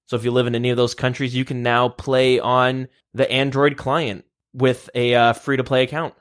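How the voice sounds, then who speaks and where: Young male podcast host, relaxed, reads the news. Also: medium pitch, normal speed, smooth. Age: young adult. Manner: steady, runs the show and leads topics. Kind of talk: casual chat, reading news. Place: shared room, two mics.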